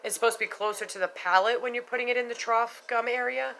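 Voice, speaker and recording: Bright-voiced, frank, chatty woman vlogging. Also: on the high side, steady tone, fast talker, gravelly, slight background noise. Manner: frank and chatty, swears casually, many fillers. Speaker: vlogger sharing denture experiences. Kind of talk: casual unscripted vlog talk.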